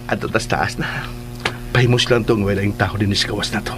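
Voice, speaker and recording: An actor with a Cebuano accent playing a jokey, amorous husband in a radio drama. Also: male voice, lowish voice, steady tone, fairly quick, over loud music, close to an everyday voice. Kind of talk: Filipino radio drama acting. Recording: loud vehicle-like noise throughout.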